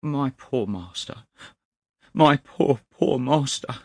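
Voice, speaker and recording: A man's voice: medium pitch, unhurried, a bit bright, no room sound, pitched above the performer's own voice. A man voicing an anguished, loyal male clerk in a dramatic storybook reading, deferential and pleading. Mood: grief-stricken. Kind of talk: dramatic storybook character acting.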